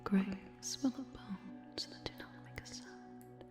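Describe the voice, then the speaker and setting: A woman whispering poetry in a gentle, hypnotic, dramatic ASMR style, as a gothic vampire hypnotist. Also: medium pitch, slow. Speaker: ASMR performer. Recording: echoing layered whispers over music. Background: music.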